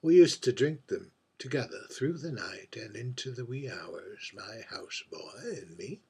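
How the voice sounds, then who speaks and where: A man performing a dramatic monologue as a lonely, heartbroken drag queen drinking at a bar, speaking in a halting, wistful, confiding way. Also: mid-range voice, expressive, dark tone, full character voice. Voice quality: husky, breathy. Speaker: writer reading own monologue.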